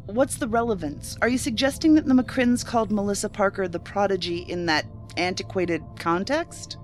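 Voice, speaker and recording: An actress playing a skeptical, sharp-tongued federal agent in a science fiction audio drama, blunt and challenging with a sardonic edge. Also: female voice, medium pitch, moderate pace, close to an everyday voice. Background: music under the voice.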